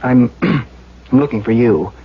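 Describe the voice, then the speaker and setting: An actor playing an earnest, anxious county engineer in a seventies radio drama, stammering and pleading hesitantly. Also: male voice, medium pitch, lively intonation, a little slow, creaky, husky, exaggerated voice. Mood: nervous, earnest. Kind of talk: 1970s radio drama acting. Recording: dull lo-fi old radio broadcast.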